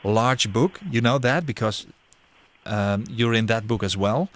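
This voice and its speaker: A man's voice: on the low side, unhurried, a bit bright. A man interviewing a guest, a non-native English speaker whose first language is Dutch, often repeating words as he shapes his questions. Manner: hesitant, repeats words while forming questions.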